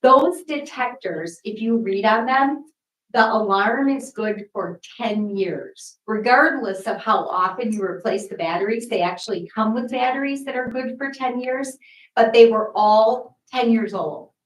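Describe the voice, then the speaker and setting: A woman lays out a practical update step by step, in a plain and matter-of-fact way. Her slightly high voice comes from far off, carrying through a reverberant church sanctuary. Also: a little slow. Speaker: church member giving an update. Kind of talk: announcement to a congregation. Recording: roomy church sanctuary from a distance.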